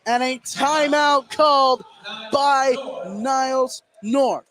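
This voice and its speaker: A man with a high-pitched voice works as a radio play-by-play announcer at a basketball game. He describes the action in short, clipped phrases. Clear gym noise runs behind him. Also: takes it slowly, bright, forceful tone. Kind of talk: live high school basketball commentary. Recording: noisy gym ambience behind. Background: ambient noise of a basketball game.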